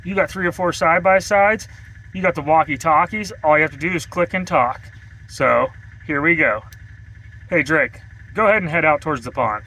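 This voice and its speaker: A man's voice: a little high, moderate pace, sharp tone, a little hiss or hum, low-quality recording. A man giving a casual, informal product demonstration to viewers in an outdoor review vlog. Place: outdoors, partly riding in a vehicle.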